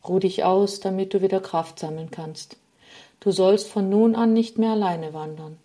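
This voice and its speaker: A woman voicing a small, gentle old woman named Hope in a storybook, speaking softly and comfortingly. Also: medium pitch, fairly even intonation, normal speed, close to an everyday voice. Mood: tender. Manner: soft, tender, comforting.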